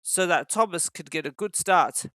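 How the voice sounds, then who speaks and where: A man playing a kindly, earnest railway engine driver in a storybook, speaking briskly. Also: high-pitched, sharp tone, dry recording. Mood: concerned, brisk.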